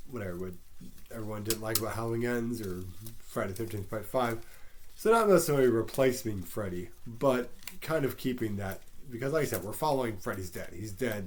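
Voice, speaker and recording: A man with a head cold, sounding congested, casually rambling through a fan pitch with lots of ums and restarts. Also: a little mellow, a little hiss or hum. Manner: rambling, self-deprecating, many ums and restarts. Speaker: fan pitching movie sequel ideas. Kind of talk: casual solo monologue.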